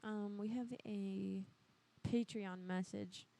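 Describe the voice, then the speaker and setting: A woman's voice: mid-range voice, steady tone, slow, vocal fry, dark tone. A woman co-hosting a live prank call show in casual banter, blunt and crude.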